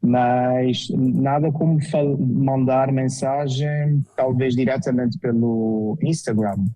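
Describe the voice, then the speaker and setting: A man's voice: medium pitch, steady tone, takes it slowly, dark tone, poor audio. A man from the Azores with an Azorean Portuguese accent, a guest architect chatting casually in an interview, with frequent fillers and restarts. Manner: hesitant, with many fillers and restarts.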